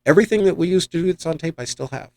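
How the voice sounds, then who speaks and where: A man's voice: on the high side, very fast, gravelly, a little mellow. A man hosting a podcast, telling rambling, enthusiastic anecdotes in a casual chat. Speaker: podcast host and paranormal investigator.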